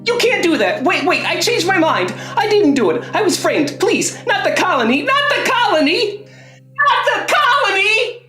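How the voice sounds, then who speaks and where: A man's voice: very high voice, very animated, normal speed, sharp tone, faint music behind, exaggerated voice. A man playing a smirking, folksy, remorseless killer in a radio play, drawling and mocking as he savors his words. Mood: frantic pleading.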